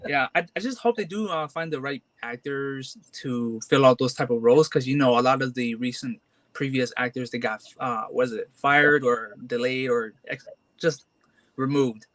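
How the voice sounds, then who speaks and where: A man's voice: medium pitch, normal speed, fairly bright tone. A man in his twenties, a comic collector, talking casually and hesitantly with many fillers and restarts. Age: 20s. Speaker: guest collector. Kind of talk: casual chat.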